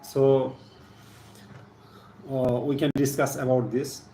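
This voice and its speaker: A man with a Bangladeshi accent giving a live chemistry lesson, partly reading aloud in a halting, deliberate manner, repeating phrases. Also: medium pitch, little pitch movement, dark tone. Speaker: science teacher hosting a live stream. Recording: echoey room with faint background noise.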